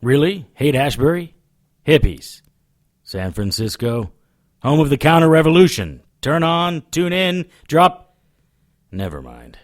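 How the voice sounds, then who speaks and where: An actor playing a gruff, sardonic occult investigator in a noir audio drama, wry and grumbling. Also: male voice, fairly high pitch, big pitch swings, unhurried. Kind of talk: audio drama acting with noir first-person narration.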